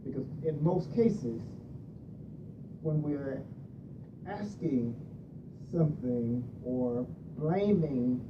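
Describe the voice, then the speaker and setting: A woman's voice: expressive, extremely slow, pauses often. A middle-aged woman hosting a radio discussion on marriage, reading from a handout and laughing between points. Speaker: radio show host. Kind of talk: leading a group discussion on marriage. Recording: distant muffled recording with rumble.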